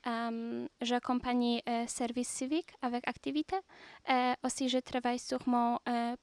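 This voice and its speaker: A young woman from Poland with a Polish accent, a volunteer speaking French hesitantly with many pauses. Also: on the high side, flat delivery, clear, resonant tone, no echo. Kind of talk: interview testimony.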